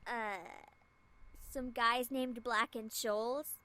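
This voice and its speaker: A woman's voice: on the high side, steady tone, slow, bright, forceful tone, dry recording, voice pushed up. A woman voicing a brash, slangy teenage schoolgirl in a visual novel, cheeky and blunt. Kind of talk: scripted visual novel dialogue. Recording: music playing behind.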